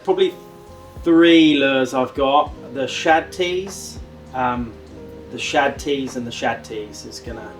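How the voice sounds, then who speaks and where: A man's voice: mid-range voice, slow. A man from near London answering interview questions in a modest, easygoing way. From near London, England. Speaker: angler competitor. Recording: distant mic, faint music behind.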